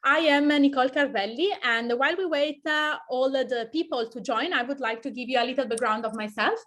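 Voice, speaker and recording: A woman from Italy with an Italian accent, hosting a live online webinar over a video call in a friendly, welcoming way with halting phrasing. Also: sits high, fairly bright tone. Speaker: webinar host.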